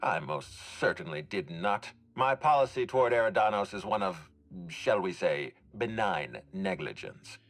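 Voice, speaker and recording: A deep-voiced, formal, guarded executive. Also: male voice, expressive, a bit bright. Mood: dry, courteous. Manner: measured, courteous, formal, dryly stern. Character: formal, guarded male executive overseeing hotel and orchards. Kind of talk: dramatic video game dialogue.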